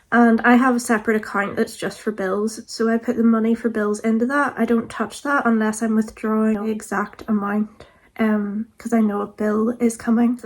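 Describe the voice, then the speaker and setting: A woman in her thirties talks to camera in a relaxed vlog, her voice a little croaky as she gets over being sick. She sits a touch high in pitch and stays fairly level, and she fills her chat with easy "like"s. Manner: chatty, frequent "like" fillers. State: croaky, recovering from illness. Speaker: vlogger. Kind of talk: casual talk to camera.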